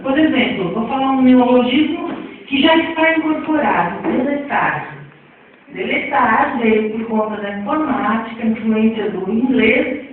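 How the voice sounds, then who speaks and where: A woman's voice: on the high side, slight background noise. A woman with a São Paulo accent giving a classroom lecture, chatty and checking in with her listeners after nearly every point. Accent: São Paulo Portuguese. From Brazil. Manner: chatty, checks in often with tags. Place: lecture hall. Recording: tinny distant echoey hall recording.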